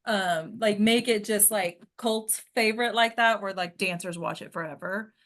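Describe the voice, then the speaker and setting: A woman's voice: medium pitch, moderate pace, fairly bright tone. A woman talking casually as she moderates an informal group discussion.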